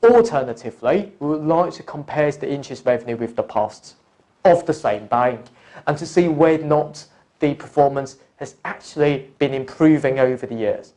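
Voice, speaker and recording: A man working as an online course tutor, explaining step by step in a deliberate, instructional lecture style and addressing the learner directly. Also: mid-range voice, dark tone. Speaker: accounting exam course tutor. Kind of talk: explanatory lecture, talking through examples.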